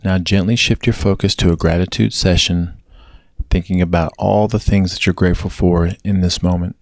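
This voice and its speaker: A low-pitched man leads a guided meditation. He gives gentle, measured instructions in a steady narrating style. The sound is dry, with very little room in it. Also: dry recording.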